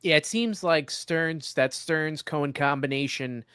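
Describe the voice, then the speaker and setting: Higher-pitched man hosting sports talk, dry sound. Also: unhurried, fairly bright tone, clean tone, no echo. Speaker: podcast host interviewing a guest. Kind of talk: conversational sports interview.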